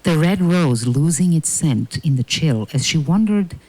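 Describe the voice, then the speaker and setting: A man narrating a fairy tale from a script in a measured, dramatic, deliberate way. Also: on the high side, lively intonation, darkish tone, dry recording, low-quality recording.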